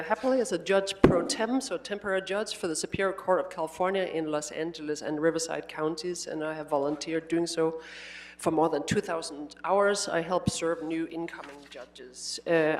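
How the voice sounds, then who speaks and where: A woman's voice: on the low side, normal speed. A woman who grew up in Denmark gives a spoken statement to a city committee. She keeps stopping to restart her sentences and fills the gaps with small hesitations. A faint hum runs under her voice. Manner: hesitant, many uh fillers and restarts. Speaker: commission nominee. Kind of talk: public statement at a hearing.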